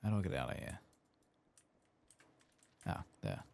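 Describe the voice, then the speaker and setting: A soft-spoken man giving low-key ASMR commentary as he plays a game, muttering to himself. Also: very animated, a little slow, vocal fry. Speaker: gaming video host.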